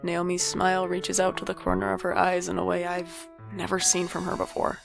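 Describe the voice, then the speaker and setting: A woman playing a wry, guarded but caring older sibling in an audio drama, with dry, deadpan delivery. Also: lowish voice, a little fast, creaky, over music. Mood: wry, resigned. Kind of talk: audio drama narration and dialogue.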